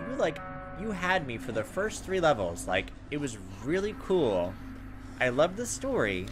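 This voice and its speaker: Animated man doing gameplay commentary over music. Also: on the high side. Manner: thinks out loud, exasperated asides. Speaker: gaming video host. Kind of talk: casual let's play commentary with read-aloud game text. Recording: background music behind voice. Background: video game music.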